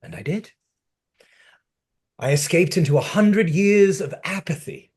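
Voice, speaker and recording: A man performs a long-dead, melancholy gentleman at a script read. His voice is husky and deliberate, taking its time while swinging expressively through the lines with brooding, dry wit. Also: high voice, expressive, exaggerated voice. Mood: earnest, intense. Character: melancholy, dry-witted dead man over a century old. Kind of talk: screenplay table read.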